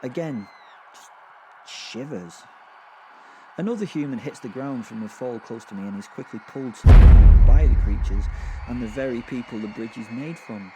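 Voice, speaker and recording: A man's voice: mid-range voice, moderate pace, highs cut off, very noisy, close to an everyday voice. A man playing a sadistic, gleeful werewolf killer, narrating a horror audio drama in a rambling, conversational way full of hesitant, amused understatement. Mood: thrilled.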